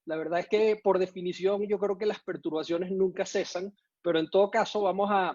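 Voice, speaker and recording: A man's voice: sits high, fairly even intonation, brisk, a little mellow, no room sound, lo-fi sound. A Venezuelan man giving an online conference talk, hesitant, with many fillers and restarts. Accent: Venezuelan Spanish with some Mexican usage. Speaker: conference speaker. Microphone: video call.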